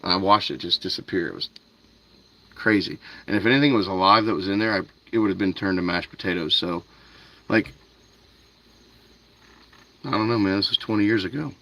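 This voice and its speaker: A man's voice: sits low, fairly quick, long pauses, fairly bright tone, muffled recording. A man hosting a fishing livestream at night. He chats loosely with his viewers, says "dude" and "man" a lot, and greets them by name. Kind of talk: casual livestream chat with viewers. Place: outdoors by a canal at night.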